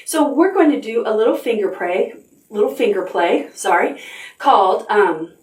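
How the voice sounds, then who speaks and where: A woman's voice: mid-range voice, animated, moderate pace, a little mellow, echoey room, distant mic. A woman librarian leading preschool storytime, sing-song and encouraging as she talks to small children. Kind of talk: preschool storytime with songs and reading.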